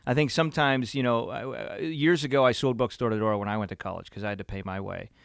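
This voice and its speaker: A man's voice: medium pitch, steady tone, quick pace, vocal fry, no room sound, clean studio sound. A man hosting an interview, affirming his guest often and asking open questions in a conversational way. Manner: affirming, with frequent "mm-hmm" backchannels. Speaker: podcast host, interviewer.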